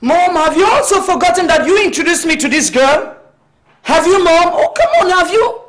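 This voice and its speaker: A man's voice: sits high, very animated, measured pace, heavily acted. An actor playing a headstrong grown son, pleading and defiant with his mother in a melodrama. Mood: insistent. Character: headstrong young man, grown son in love. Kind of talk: melodrama acting.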